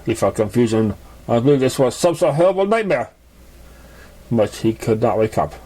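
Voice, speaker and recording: A man reading a fan fiction story aloud in a halting, stumbling way. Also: mid-range voice, lively intonation, mellow. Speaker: story reader.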